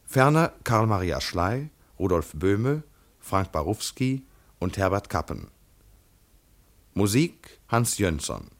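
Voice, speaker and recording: A male announcer reads the credits for an old broadcast in a measured, solemn way. His voice is very deep and unhurried, yet it rises and falls in a lively way. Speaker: radio announcer.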